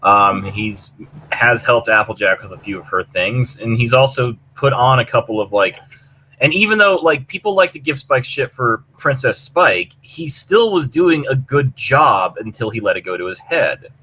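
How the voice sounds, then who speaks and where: Bright-voiced man chatting on a fan podcast. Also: mid-range voice, moderate pace, a little hiss or hum, low-quality recording. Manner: animated retelling with acted-out quotes, casual swearing. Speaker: podcast co-host. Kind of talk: casual fan discussion of a cartoon episode.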